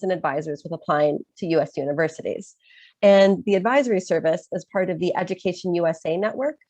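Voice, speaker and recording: Woman, American Chicago accent, lowish voice, dry close sound, getting over a cold, webinar presenter, ums. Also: a little mellow, dry recording. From Chicago, USA. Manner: informative, with frequent um fillers. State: recovering from a cold. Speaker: study-abroad advisor, webinar presenter.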